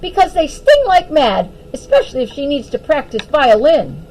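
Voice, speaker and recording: A woman's voice: high-pitched, wildly swooping pitch, moderate pace, darkish tone, slight background noise, pitched above the performer's own voice, low-quality recording. A woman voicing a fussy, boastful, easily scared young female storybook character in a whiny, huffy way. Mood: defensive, whiny.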